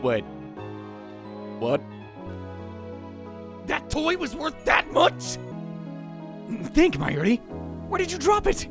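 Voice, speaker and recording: A man's voice: very high-pitched, huge pitch swings, slow, creaky, long pauses, music in the background, heavily acted. A man playing a dramatic, paranoid young self-styled mad scientist in a visual novel fan dub, theatrical, brooding and self-important. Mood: excited, greedy. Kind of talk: visual novel fan dub, first-person narration and dialogue.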